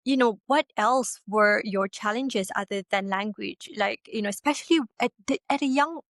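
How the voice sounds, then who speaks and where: A woman's voice: mid-range voice, normal speed, a bit bright, no room sound, clean studio sound. A woman hosting a casual podcast interview, friendly and encouraging, often saying "like" and "you know". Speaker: podcast host.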